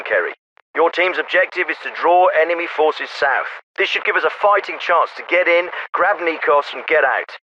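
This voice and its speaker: A man playing a brisk, stern special forces captain in a video game, giving clipped, authoritative orders with dry sarcasm, sometimes through a radio filter. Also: on the high side, a bit bright, highs cut off. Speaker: military squad commander. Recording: radio-filtered, band-limited clips with faint static.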